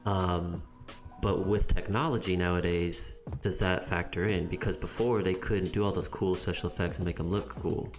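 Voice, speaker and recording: A man with a very low voice talks casually on a podcast, working his thoughts out aloud and filling pauses with ums. Faint music sits underneath. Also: steady tone. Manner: thoughtful and rambling, with many ums. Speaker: podcast co-host. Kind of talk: casual chat.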